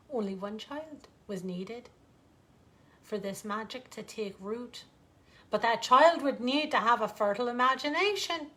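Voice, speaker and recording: A woman with a fairly high voice reads a bedtime story. Her delivery is theatrical and lilting, with dramatic pauses that draw out the fairytale. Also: fairly bright tone. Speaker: author reading own bedtime story.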